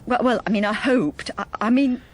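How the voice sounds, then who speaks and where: Hesitant, husky middle-aged wife played by an actress. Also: female voice, on the high side, lightly acted. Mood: pleading, hurt. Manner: hesitant, halting, confiding. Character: timid, wistful middle-aged wife breaking free of marriage. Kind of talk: radio drama acting with first-person narration.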